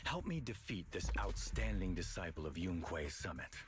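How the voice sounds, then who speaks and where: An actor voicing a cunning, cynical tiger-clan villain in a video game, speaking in a theatrical, formal, taunting way. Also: male voice, deep voice, very animated, normal speed. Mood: menacing. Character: cunning, cynical male tiger-clansman villain, grandiose and cruel. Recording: loud music behind the voice.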